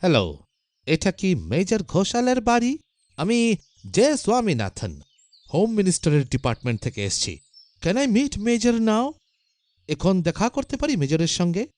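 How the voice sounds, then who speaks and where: A man's voice: sits high, sing-song, normal speed, long pauses, a little mellow, no echo. An actor playing a polite, formal government official in his fifties, a non-Bengali visitor, in an audio story. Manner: formal, courteous.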